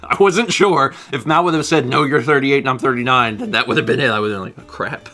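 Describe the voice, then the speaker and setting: A man's voice: mid-range voice, fast, bright, forceful tone, soft background music. A man in his thirties co-hosting a live stream, chatting casually and rambling with lots of laughter while reading viewer comments. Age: 30s. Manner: rambling, self-deprecating, laughs often. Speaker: livestream co-host.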